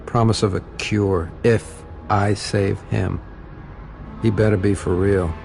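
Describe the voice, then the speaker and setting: A man voicing a grim, tormented soldier in a video game, delivering a terse, brooding inner monologue with a gravelly voice. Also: on the low side, steady tone, slow pace, a little mellow, faint music behind. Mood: weary, bitter. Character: grim, tormented male soldier turning mutant. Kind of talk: video game narration in character.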